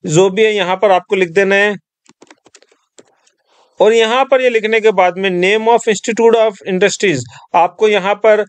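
A man's voice: high-pitched, fairly even intonation, slow, clean tone, dry recording. A man from Rajasthan talking viewers step by step through an online form in a screen-recorded tutorial. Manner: step-by-step instructing, addresses viewers as friends. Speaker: tutorial presenter.

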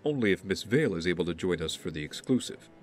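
A man playing a guarded young billionaire in an audio drama, speaking in a measured, formal way. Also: deep voice, animated. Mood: polite, charming. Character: brooding, guarded young billionaire with a secret mission. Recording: roomy reverb, faint music behind.

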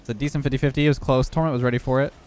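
A young man who has lost some of his voice, hoarse, giving relaxed running commentary over his own gameplay. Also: mid-range voice, rapid-fire, faint music behind. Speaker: gaming YouTuber.

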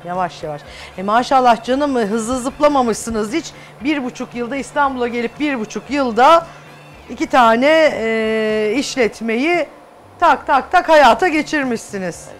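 A woman's voice: on the high side, a little slow. A woman in her thirties hosting a cookery show, interviewing casually and echoing her guest's answers. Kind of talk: casual interview with short reactions. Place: TV studio kitchen set. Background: background music.

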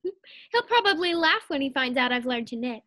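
Woman playing very high-pitched scatterbrained radio housewife. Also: animated, normal speed, a bit bright. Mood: chirpy. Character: scatterbrained, scheming, cheerful young housewife Liz. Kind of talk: 1940s radio sitcom acting.

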